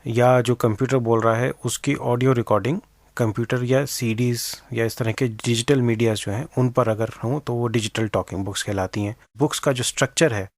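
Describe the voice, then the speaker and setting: A man's voice: mid-range voice, steady tone, a little fast, dry recording. Guy getting interviewed, explains stuff w english tech words mixed in, mid voice, very dry sound. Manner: mixes English technical terms into speech. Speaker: interview guest, expert on accessible books.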